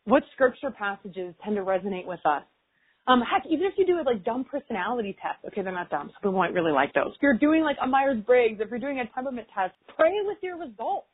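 A woman's voice: on the high side, very animated, fast, slightly rough. A woman talking casually and reflectively, with frequent filler words. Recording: tinny phone-line sound.

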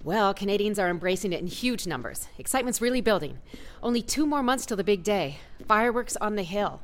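Woman playing an efficient office deputy, lively delivery. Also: mid-range voice, a little fast, close to an everyday voice. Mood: perky, rehearsed. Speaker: actor in a satirical audio drama.

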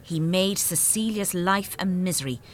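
An actress playing a practical, spiteful grown daughter in a radio play, with an Irish accent and a dry, matter-of-fact manner. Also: female voice, fairly low pitch, normal speed, lightly acted. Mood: callous.

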